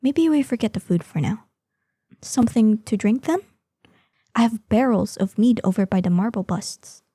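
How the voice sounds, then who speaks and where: A woman playing an ancient, proud female dragon in a scripted audio roleplay, imperious in manner, with a breathy voice that lapses into whispers. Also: mid-range voice, expressive, mellow, dry recording, clean studio sound. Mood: excited, flustered. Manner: slow, imperious, savoring threats. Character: ancient, menacing, proud female dragon guarding hoard, lonely, excitable.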